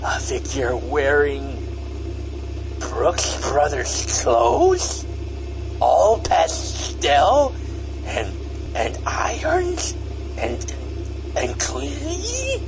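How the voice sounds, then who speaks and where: Shrill, melodramatic young devil-worshipper. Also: male voice, huge pitch swings, very slow, gravelly, pauses often, noisy background, full character voice. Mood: theatrical, dismayed. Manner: theatrical, stammering, wailing.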